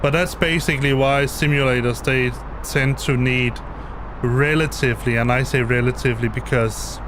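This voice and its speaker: Danish man, halting live-stream explanation. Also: medium pitch, fairly even intonation, background noise. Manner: hesitant, many restarts and repeated words. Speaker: flight sim streamer. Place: home basement.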